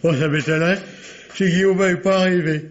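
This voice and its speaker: A man's voice: sits high, steady tone, fairly quick, lightly acted. An actor playing a chatty, folksy office doorman, deferential yet cheeky, full of interjections, in an old radio drama. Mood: officious, brisk. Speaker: doorman and receptionist. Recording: dull, noisy vintage radio recording.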